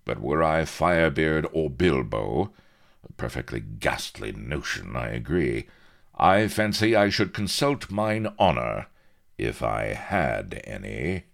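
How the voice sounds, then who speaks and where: A man puts on a priggish gentleman captain from a comic adventure tale. He speaks in clipped, old-fashioned phrases with haughty disdain. His pitch moves about freely. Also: big pitch swings, takes it slowly, creaky, full character voice.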